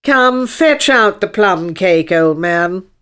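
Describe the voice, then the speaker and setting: A woman voicing a haughty, dreamy male unicorn in a storybook, speaking in a drawn-out, imperious way. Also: mid-range voice, lively intonation, fairly bright tone, clear, resonant tone. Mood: demanding.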